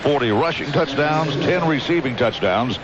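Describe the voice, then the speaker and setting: A man's voice: fairly high pitch, expressive, normal speed, over a noisy crowd. A man with an American accent calling live football on radio as play-by-play announcer, in vivid, clipped phrases. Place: outdoor stadium broadcast booth. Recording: muffled radio broadcast, loud stadium noise. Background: loud stadium noise.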